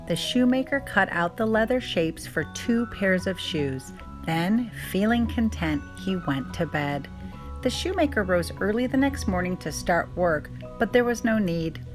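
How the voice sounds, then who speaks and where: A woman reading a storybook aloud for children as a scripted narrator. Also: medium pitch. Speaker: storybook reader.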